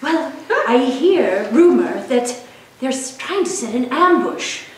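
A woman's voice: high voice, big pitch swings, slow pace. A woman performing improvised comic fairytale scenes on a stage. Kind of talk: improvised musical comedy on stage. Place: small theater stage, indoors. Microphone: far camera from the audience. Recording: echoey theater, distant mic, guitar behind.

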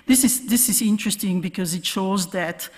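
A woman researcher delivers an academic conference talk in a big hall with long reverb. Her voice sits at a middle pitch, and her explanations come haltingly, with words repeated as she searches for the next one. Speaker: keynote speaker, academic researcher. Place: large conference hall stage, at podium. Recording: reverberant lecture hall sound.

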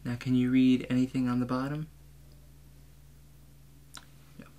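A man in his twenties speaking softly and calmly as a doctor in an ASMR role play, guiding the listener step by step. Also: medium pitch. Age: 20s. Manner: calm, measured, instructing the listener step by step. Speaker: role-player acting as an examining doctor. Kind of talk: soft-spoken ASMR medical role play. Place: small room indoors. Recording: clear steady background hum.

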